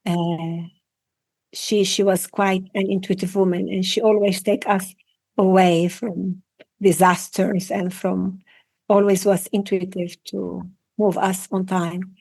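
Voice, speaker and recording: A woman's voice: mid-range voice, darkish tone. A woman in her sixties from Iraq, raised in Venezuela, whose first language was Assyrian Aramaic, speaking reflectively as a guest with frequent restarts. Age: 60s. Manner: reflective, repeats and restarts phrases. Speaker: guest, psychotherapist and breathwork trainer. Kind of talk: casual interview conversation.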